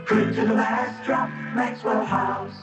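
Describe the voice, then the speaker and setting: A woman's voice: on the high side, steady tone, slow. A woman performing a radio advertisement jingle. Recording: roomy sound under loud music. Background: loud music.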